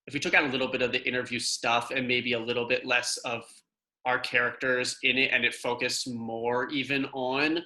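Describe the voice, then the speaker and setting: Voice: mid-range voice, flat delivery, moderate pace, pushed and bright. An actor talking casually and tentatively in a group discussion, giving notes on a script.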